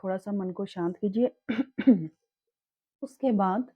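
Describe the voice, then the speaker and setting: A young woman explains in a calm, reassuring way, her throat a little sore so she clears it now and then. Her voice sits in a middle range. The recording is dry and slightly muffled, with no room sound. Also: medium pitch, takes it slowly, no room sound. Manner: calm, reassuring, mixes English words into her talk. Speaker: chakra healing teacher. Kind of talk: casual spoken tutorial.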